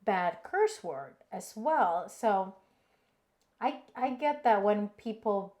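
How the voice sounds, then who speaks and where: A woman's voice: medium pitch, lively intonation, takes it slowly, a little mellow. A woman with an Indonesian accent co-hosting a cooking stream, chatting casually and reading viewer comments aloud with fillers and laughs.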